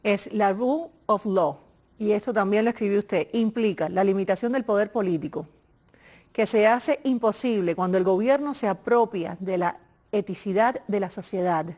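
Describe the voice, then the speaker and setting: A woman's voice: mid-range voice, normal speed, a little mellow. A woman hosting a political interview in a conversational broadcast style, engaged and prompting her guest. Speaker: interview host.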